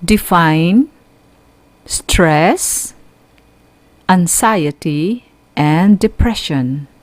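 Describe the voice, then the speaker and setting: Woman, Filipino accent, radio school teacher, slow deliberate delivery, teacherly, dry close sound. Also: on the low side, animated, no room sound. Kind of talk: instructional radio lecture.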